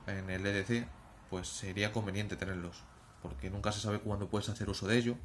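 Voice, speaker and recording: A man's voice: on the low side, slight background noise. A man with an accent from Spain presenting an informal explainer in a didactic manner, often checking in with short tag questions. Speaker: presenter of an emergency preparedness channel.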